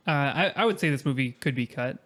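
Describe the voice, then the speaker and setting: A man's voice: medium pitch, fast, very noisy. A man chatting casually as a podcast guest in an easygoing, joking way. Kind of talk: casual chat.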